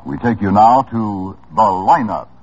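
A man's voice: fairly low pitch, lively intonation, unhurried, over music. Dramatic old-time radio announcer, man. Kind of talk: 1950s broadcast announcing. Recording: dull lo-fi old radio broadcast.

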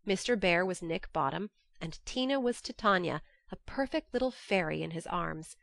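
A woman reading as a lively, affectionate young woman writing letters home, expressive and confiding, in an audiobook. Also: fairly low pitch, moderate pace, creaky, no room sound, clean studio sound. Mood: cheerful, chatty.

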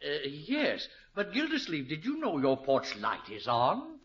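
An actor playing an amiable, muddled older father-in-law in a 1950s radio comedy, halting and forgetful. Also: a little high, wildly swooping pitch, fairly bright tone, exaggerated voice. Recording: muffled, noisy old radio broadcast.

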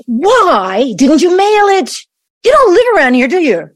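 A woman's voice: high-pitched, big pitch swings, a little slow, no room sound, clean studio sound. A woman in an audio play acting a defensive, indignant grown daughter with a challenging, exasperated delivery. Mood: indignant, suspicious.

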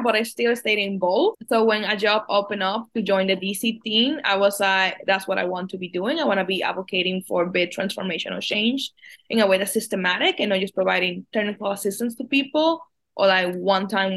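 A young woman from the Dominican Republic speaks as a podcast guest. She answers in long, earnest runs and sometimes restarts a word. Her voice sits at an ordinary pitch for a woman. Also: brisk, a bit bright. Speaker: guest, farm policy advocate. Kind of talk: casual interview answers.

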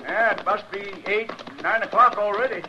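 A man's voice: high voice, fairly even intonation, moderate pace, natural voice. An actor playing an anxious, folksy American homesteader and father in an old-time radio western. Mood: worried. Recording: dull, noisy vintage radio recording.

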